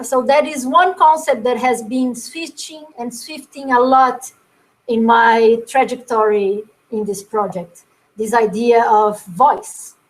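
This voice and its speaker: A woman giving a thoughtful webinar talk about her work, often pausing and repeating words as she searches for the next phrase. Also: fairly high pitch, low-quality recording. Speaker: guest speaker presenting her work. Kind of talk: webinar presentation.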